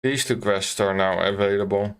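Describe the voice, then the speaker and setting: A man giving casual live gameplay commentary as a streamer, halting and thinking aloud. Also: lowish voice, fairly even intonation, smooth. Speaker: game streamer. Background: faint game music.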